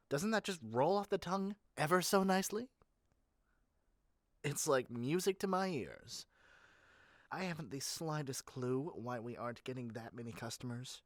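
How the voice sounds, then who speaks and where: Performer as a frazzled, cynical sales rep for an audio roleplay; mid-pitched, lively swoops, chatty theatrical asides, dry recording. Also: no echo, close to an everyday voice. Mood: smug musing. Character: eager, shady, frazzled yandere matchmaking salesperson, cynical and fast-talking. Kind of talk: scripted audio roleplay monologue to one listener.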